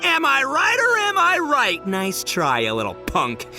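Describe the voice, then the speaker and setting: A man's voice: extremely high pitch, huge pitch swings, measured pace, pushed and bright, soft background music, full character voice. A man voicing a brash, boastful young beetle-fighting champion in a video game, slangy and boisterous, laughing often. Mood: animated.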